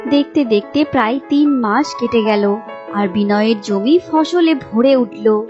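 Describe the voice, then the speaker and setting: A woman's voice: on the high side, measured pace, dull audio. A woman narrating a scripted story as a storyteller. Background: music.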